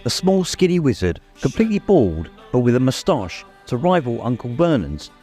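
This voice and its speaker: A man narrates an audiobook in an expressive, suspenseful storytelling style. His medium-pitched voice moves with lively intonation, and music plays behind it. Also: moderate pace. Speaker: audiobook reader. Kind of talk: dramatic scripted story narration. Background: music, immersive production.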